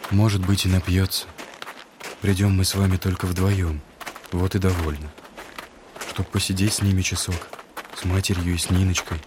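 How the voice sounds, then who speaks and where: An actor playing a gentle, earnest young man who speaks to schoolboys in a solemn, heartfelt way in an audio drama. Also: sits low, level tone, background noise, close to an everyday voice. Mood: subdued, consoling. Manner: solemn, heartfelt speechmaking with deliberate pauses. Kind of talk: Russian literary audio drama acting.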